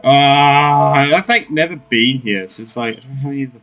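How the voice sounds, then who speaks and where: A man's voice: medium pitch, moderate pace, fairly bright tone, muffled recording. A man giving casual playthrough commentary as a gaming streamer, in a rambling, informal way. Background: game music.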